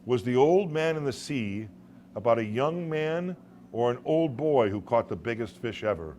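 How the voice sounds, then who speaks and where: A man reading his own short story to a live room as a dramatic storyteller, with deliberate pauses and occasional stumbles. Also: medium pitch, lively intonation, mellow. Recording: live room recording with background noise.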